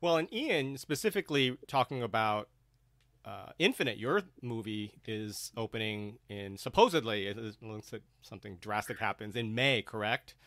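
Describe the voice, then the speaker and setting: A man's voice: lowish voice, expressive, moderate pace, a bit bright, dry recording. Man hosting a panel, dry sound. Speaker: host. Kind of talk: panel discussion, interviewing guests.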